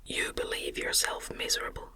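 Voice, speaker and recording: A woman's voice: moderate pace, lightly acted. A woman voicing a proud, indignant male scientist with formal, scornful words in a whispered ASMR audiobook. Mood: scornful anger.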